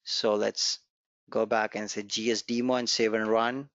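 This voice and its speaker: A man's voice: on the low side, level tone, moderate pace, a bit bright, no echo. Man teaching coding in a dull, dry recording. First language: Gujarati. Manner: encouraging, repeats key points, walks through code step by step. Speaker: programming instructor. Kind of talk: live online coding class.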